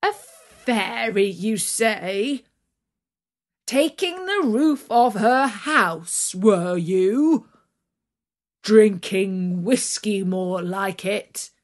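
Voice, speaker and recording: A woman voicing a hard-hearted male farm master from a storybook, mocking and commanding in a loud voice. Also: mid-range voice, animated, extremely slow. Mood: jeering, scornful. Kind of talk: storybook character voice.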